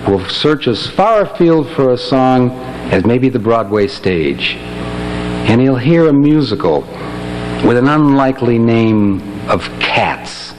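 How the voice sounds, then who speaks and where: A man's voice: mid-range voice, expressive, slow, slight background noise, low-quality recording. A man speaking as presenter of a barbershop chorus show, giving a spoken introduction between songs on stage.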